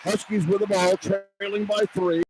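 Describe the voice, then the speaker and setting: A man calling live basketball play-by-play on radio from a noisy arena, in excited, clipped phrases that follow the action. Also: sits high, moderate pace. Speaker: play-by-play announcer. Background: loud arena noise.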